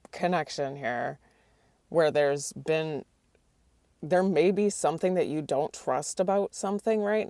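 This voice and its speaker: Low, gravelly woman reading tarot. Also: mellow, dry recording. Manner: hesitant, restarts often, asks the cards aloud. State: losing her voice. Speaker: tarot reader.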